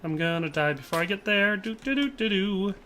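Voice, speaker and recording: A man's voice: high-pitched, a little fast, sharp tone, slight background noise. A man streaming a shooter live, chatting jokily, reading chat and laughing often. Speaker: game streamer.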